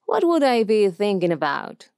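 A woman's voice: mid-range voice, wildly swooping pitch, normal speed, a little mellow, clear, resonant tone, no room sound, clean studio sound. A woman with an Argentine Spanish accent playing a chatty, scatterbrained doctor in a video game, speaking confidingly.

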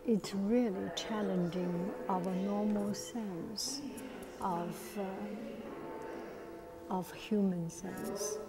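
Slow, hushed woman touring a museum. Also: mid-range voice, steady tone, loud music behind the voice. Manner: critical, hesitant, whispering at times. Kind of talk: casual spoken commentary. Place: indoor art museum gallery. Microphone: earphone mic, phone camera held at arm's length.

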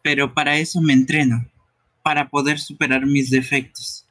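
A man's voice: medium pitch, little pitch movement, normal speed, sharp tone, close to an everyday voice. A man voicing a timid, earnest young furry creature in an amateur game dub, speaking hesitantly. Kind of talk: amateur live game dubbing.

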